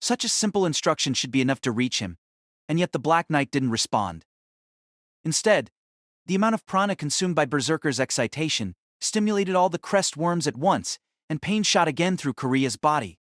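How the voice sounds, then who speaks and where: A man's voice: a little high, expressive, normal speed, a bit bright, dry recording, clean studio sound. A man narrating an audio drama in a dramatic, scripted style that rises and falls with the action.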